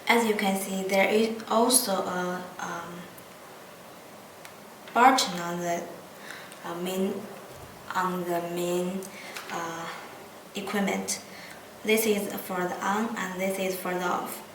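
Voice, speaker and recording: Woman, non-native English, slow hesitant delivery, product demo, roomy echo, distant from the mic. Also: lowish voice, steady tone, background noise. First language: not English. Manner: halting, hesitant speech with frequent pauses mid-phrase. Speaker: product demonstrator. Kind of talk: unscripted product demonstration.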